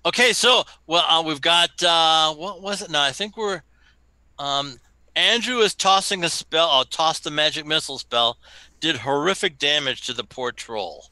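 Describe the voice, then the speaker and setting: A man hosting and running a tabletop game, brisk and joking as he calls turns. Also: on the high side, bright, forceful tone, no echo, rough recording. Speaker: game master and host.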